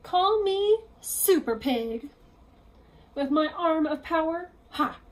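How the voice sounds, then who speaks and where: A woman voicing an excitable, boastful little female pig in a children's picture-book read-aloud, exclaiming in a gleeful sing-song. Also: sits high, slow. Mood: boastful triumph.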